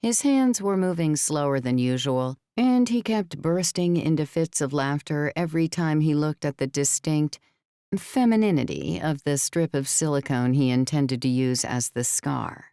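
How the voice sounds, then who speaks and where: A woman's voice: sits low, moderate pace, dark tone, clean tone, no echo, clean studio sound. A woman narrating scripted fiction as a storyteller in a full-cast audiobook. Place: dry recording studio.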